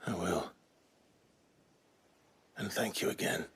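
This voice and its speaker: An actor playing a brooding deserter warrior in a game cutscene, grave, hushed and restrained. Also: male voice, slow, a little hiss or hum. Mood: subdued. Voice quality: very deep, hushed. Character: brooding, soft-spoken young male deserter warrior. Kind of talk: dramatic video game cutscene acting. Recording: loud music under the voice.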